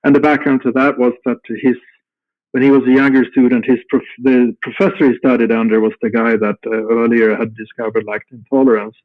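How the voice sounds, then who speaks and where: A middle-aged man from Sweden with a Swedish accent, speaking English as a guest in an unhurried, halting way with many restarts. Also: medium pitch, flat delivery, no room sound. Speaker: guest, company founder. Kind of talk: casual interview answers. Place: home room at night. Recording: tinny phone-like call line.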